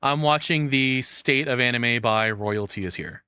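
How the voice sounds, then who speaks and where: Male reaction streamer, mid-pitched, loose and rambling delivery with casual swearing, recorded close and dry. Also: a little slow, pushed and bright, smooth, no room sound. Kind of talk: casual off-the-cuff commentary.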